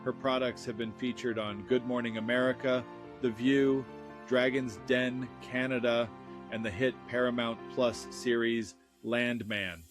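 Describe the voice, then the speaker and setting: A man hosting a podcast, reading a scripted introduction in a measured, announcer-like way. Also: mid-range voice, level tone. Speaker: podcast host. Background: faint guitar music.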